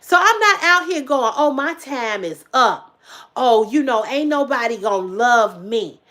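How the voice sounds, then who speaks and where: Emphatic, high-pitched woman in her fifties. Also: lively intonation, measured pace. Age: 50s. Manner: emphatic, repetitive, swears freely; swings between loud exclamations and low asides. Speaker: livestream host. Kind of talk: casual livestream talk to viewers.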